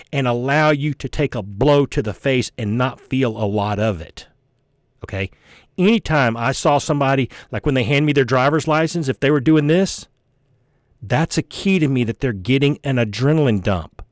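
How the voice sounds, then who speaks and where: A man's voice: medium pitch, no echo, clean studio sound. A man giving explanatory commentary to viewers in an emphatic, instructive, folksy way, like a former officer teaching from experience. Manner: emphatic, instructive, checks understanding with "okay?".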